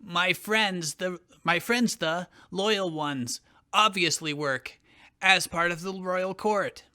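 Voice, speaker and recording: Proud, vengeful male hero, acted by a man, very forceful high voice, scornful delivery for fan fiction theatre. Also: slow, clear, resonant tone, heavily acted. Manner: scornful, declamatory. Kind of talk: dramatic fan fiction reading.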